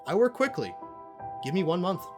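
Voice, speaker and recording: A theatrical, self-important cult expert played by an actor holds forth in an audio drama. The delivery is pompous and full of deliberate pauses, with pitch that swings widely. Music plays clearly underneath. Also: big pitch swings, natural voice. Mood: businesslike, self-assured.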